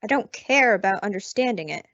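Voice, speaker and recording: A woman's voice: mid-range voice, lively intonation, fairly quick, a bit bright, no room sound. A young woman acting a hard, vengeful old widow in a radio Western, curt and scornful. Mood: bitter, hard. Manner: curt, scornful, rustic grammar. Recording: dull, dry lo-fi recording.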